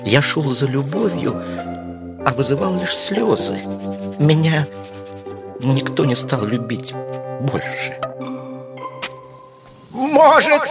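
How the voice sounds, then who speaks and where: Melodramatic young cartoon lamb, loud music. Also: male voice, on the high side. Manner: theatrical, earnest, self-pitying. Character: sensitive, melodramatic young male lamb poet. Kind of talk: animated cartoon character acting.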